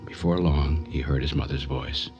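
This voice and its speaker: A man narrating a crime story in a radio drama, in a grave, measured, matter-of-fact way. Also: a bass voice, steady tone. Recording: muffled radio recording with music underneath.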